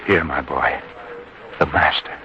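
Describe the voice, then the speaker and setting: A man playing a kindly, reverent disciple, earnest and deliberate, in an old-time radio drama. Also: rumbling low, crowd noise. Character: kindly, reverent male disciple, gently authoritative. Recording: dull lo-fi radio sound, faint noise.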